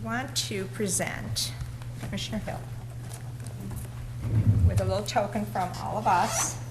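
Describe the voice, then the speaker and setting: A woman with a raspy voice chairing a city commission meeting in an informal, chatty way. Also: medium pitch, unhurried, heavy background noise. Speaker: presiding commissioner.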